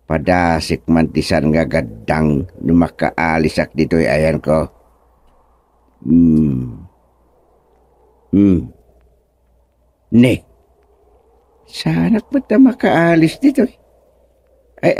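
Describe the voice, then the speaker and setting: A befuddled former village chairman from a comic radio serial. He is stubborn, mutters to himself and exclaims in puzzlement. His middle-pitched delivery swings up and down in a slow, very dry recording. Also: darkish tone, no echo, full character voice. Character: bewildered, stubborn male former village chairman, newly dead. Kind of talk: comedic radio drama acting.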